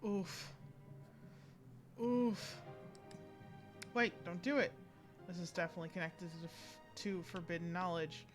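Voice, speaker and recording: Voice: medium pitch, measured pace, pauses often, soft background music. A game streamer giving casual live commentary, informally speaking up as the player character.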